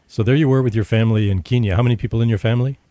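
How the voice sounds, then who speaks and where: A man's voice: mid-range voice, fairly even intonation, rapid-fire, dark tone, no echo. A man hosting a radio interview show, talking conversationally with frequent fillers. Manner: conversational, many fillers and restarts.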